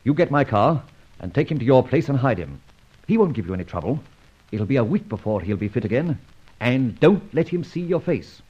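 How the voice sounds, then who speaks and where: A mocking, self-assured gentleman adventurer in a vintage radio drama. His husky voice swings widely in pitch with urbane, theatrical flair. The old recording is dull and boxed in. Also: very animated, fairly quick. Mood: smug, commanding.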